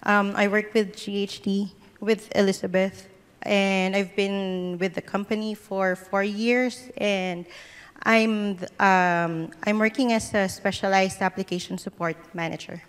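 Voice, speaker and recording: A woman's voice: mid-range voice, level tone, a little slow, smooth. A woman speaking on a conference panel, nervous as a first-time public speaker. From Philippines. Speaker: panelist, application support manager. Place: large conference hall. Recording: long hall reverb through PA.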